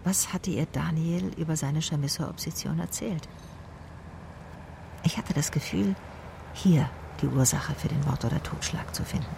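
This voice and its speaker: An actress playing a reflective, probing private detective in a radio drama, speaking in a measured, matter-of-fact way. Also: female voice, sits low, creaky, noisy background. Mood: pensive. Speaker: actor and narrator in a radio play. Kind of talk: first-person radio drama narration and dialogue.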